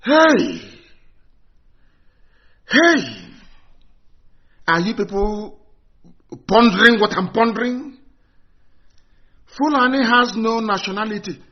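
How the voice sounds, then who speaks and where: A man's voice: high-pitched, sing-song, slow pace, long pauses. Impassioned man on a lo-fi stream. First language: Igbo. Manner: fervent and agitated, crying out exclamations and rhetorical questions. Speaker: broadcaster of a live stream. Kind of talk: impassioned live-stream address.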